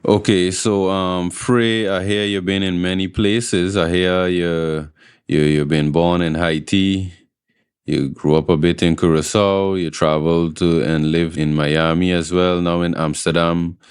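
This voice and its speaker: Deep, very smooth-voiced male interviewer. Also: steady tone, unhurried, no room sound, clean studio sound. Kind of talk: conversational interview questioning.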